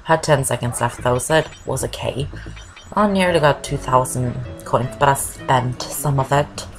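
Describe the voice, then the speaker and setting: A woman's voice: extremely low pitch, moderate pace. A woman from Norway, not an English speaker, giving rambling casual commentary over a game walkthrough and saying "I guess" a lot. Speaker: gameplay commentator. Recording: voice over loud game music.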